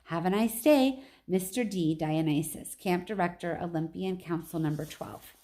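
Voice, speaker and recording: A woman's voice: fairly low pitch, moderate pace, dark tone, close to an everyday voice. A woman reading aloud as an officious, callous camp director issuing a formal, matter-of-fact notice in a storybook. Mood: officious, deadpan. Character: officious camp director dictating a callously menacing form letter.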